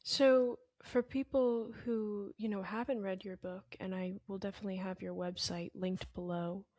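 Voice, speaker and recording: A woman hosting a podcast, gently asking her guest questions with hesitant fillers. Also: medium pitch, steady tone, moderate pace, no echo, clean studio sound. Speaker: podcast host. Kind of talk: interview conversation.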